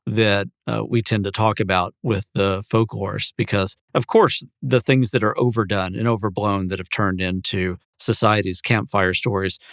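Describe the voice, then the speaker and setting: A man with a fairly low voice talks casually as a podcast co-host. He explains things at length in a measured manner, and the sound is dry and close, free of room echo. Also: fairly even intonation, creaky, fairly bright tone.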